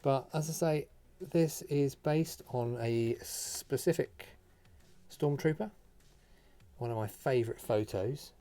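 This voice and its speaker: A man's voice: medium pitch, warm tone. A man giving a casual, unscripted how-to commentary, explaining and thinking aloud as he works. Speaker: host of a hobby craft tutorial. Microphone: phone recording.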